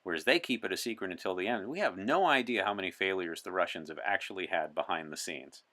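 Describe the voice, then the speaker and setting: A man's voice: low-pitched, animated, fast, bright, forceful tone. A man playing a wry, opinionated science-fiction journalist from 1964 in an interview chat, articulate and dryly humorous. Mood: earnest. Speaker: podcast guest.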